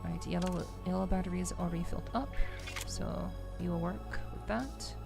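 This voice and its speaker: A woman streamer talks to her chat in a low, softly creaky voice. She feels her way gently through casual game commentary in an ASMR style, with music playing behind her. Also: fairly even intonation, normal speed. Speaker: streamer, VTuber host.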